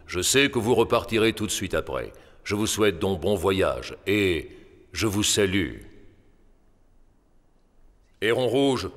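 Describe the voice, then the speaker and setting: A man voicing a haughty, grave emperor in a cartoon dub, with a regal, measured, formal delivery. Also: lowish voice, a bit bright, natural voice. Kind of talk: French cartoon dubbing. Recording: long hall reverb.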